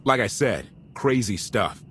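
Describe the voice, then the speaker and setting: A man's voice: mid-range voice, expressive, a little slow, fairly bright tone, slight background noise. A man playing a brash, enthusiastic rebel pilot in a sci-fi game, talking casually with wry earnestness. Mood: wry, upbeat.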